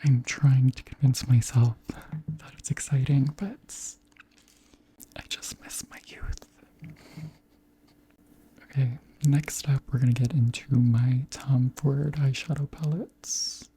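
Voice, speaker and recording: A beauty creator around thirty speaking softly in a whispery, breathy voice, gently chatting through products in an unhurried ASMR style. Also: medium pitch, fairly even intonation, slight background noise. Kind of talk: soft-spoken ASMR chat and product show-and-tell.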